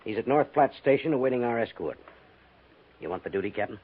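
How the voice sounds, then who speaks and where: A man's voice: mid-range voice, expressive, fast talker, slightly rough. A man playing a worried, resigned cavalry major in a 1950s radio western, speaking with terse authority. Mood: businesslike. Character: worried, resigned male army major, frontier fort commander. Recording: muffled, faintly noisy vintage radio recording.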